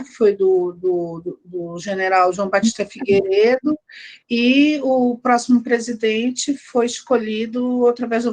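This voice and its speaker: Higher-voiced middle-aged woman from Brazil. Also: a little mellow. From Brazil, born in Rio, grew up in Belém, Pará. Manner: halting, repeats words, frequent tag questions. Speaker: guest academic, history and anthropology researcher. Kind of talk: conversational personal reminiscence.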